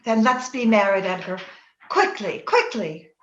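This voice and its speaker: Woman acting passionate young gentlewoman, boxy room. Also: mid-range voice, measured pace, lightly acted. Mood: tender, urgent. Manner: emotional, dramatic delivery with breathless repetitions. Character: Cathy, passionate, troubled young gentlewoman, now Edgar's wife. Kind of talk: old-time radio drama acting. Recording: roomy, dull video-call audio.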